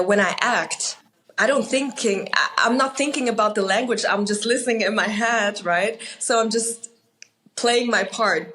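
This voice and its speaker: A woman's voice: mid-range voice, a bit bright, rough recording. A woman from Austria whose first language is Mandarin, speaking casually as an actress being interviewed.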